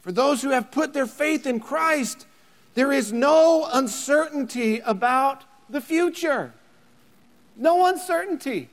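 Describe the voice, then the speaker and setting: A man's voice: very high voice, animated, takes it slowly. Man preaching in a reverberant hall. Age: 30s. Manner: builds to emphatic near-shouting, repeats words. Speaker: pastor. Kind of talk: sermon.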